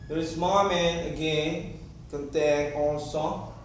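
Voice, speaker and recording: Indonesian-accented man for an improvised, disjointed monologue; halting phrasing, recorded far from the mic with clear background noise. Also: on the high side, steady tone. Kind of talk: rambling improvised monologue to camera. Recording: very echoey room, distant mic, clear noise.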